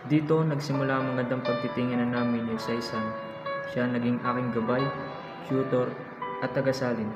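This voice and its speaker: A man playing an earnest, courteous young Filipino doctor in a scripted amateur radio drama, reciting in a measured, formal way. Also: medium pitch, flat delivery, highs cut off, natural voice. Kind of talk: scripted amateur radio drama, first-person narration and dialogue. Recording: roomy echo under loud music.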